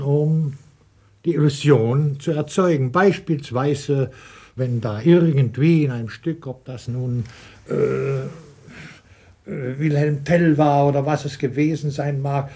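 A man who works as a radio announcer reminiscing off the cuff, halting and hesitant as he searches for words. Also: mid-range voice, darkish tone, breathy edge. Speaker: radio announcer looking back. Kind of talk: unscripted spoken reminiscence.

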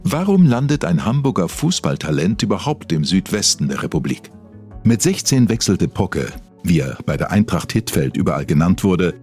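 A man's voice: deep voice, a little mellow. A man presenting an investigative documentary, reading scripted narration. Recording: music underneath. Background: background music.

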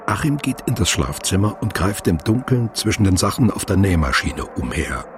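An actor playing a sober narrator, reading literary radio drama narration in a measured, matter-of-fact way. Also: male voice, deep voice, very animated, music in the background. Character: sober male narrator of a biography.

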